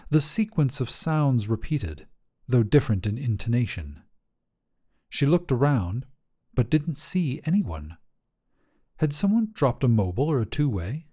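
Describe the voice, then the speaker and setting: A man's voice: mid-range voice, very animated, a little slow, warm tone, slightly rough. A man narrating a scripted short story as a fiction podcast reader.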